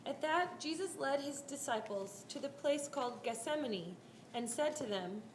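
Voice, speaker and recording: A woman's voice: high voice, animated, normal speed. A woman narrating a scripted scripture story as part of a reader's theater performance. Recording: echoey room, faint background noise.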